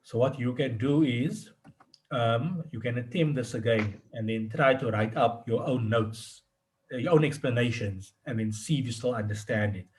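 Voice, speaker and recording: A man's voice: mid-range voice, moderate pace, slight background noise. A man working as a tutor, explaining in an informal one-on-one session, often repeating and rephrasing himself as he goes. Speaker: accounting tutor.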